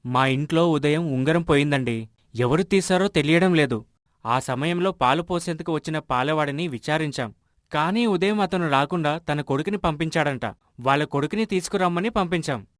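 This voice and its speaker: A man playing a polite, earnest village man in an animated moral story. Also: on the high side, normal speed, no echo, clean studio sound, natural voice.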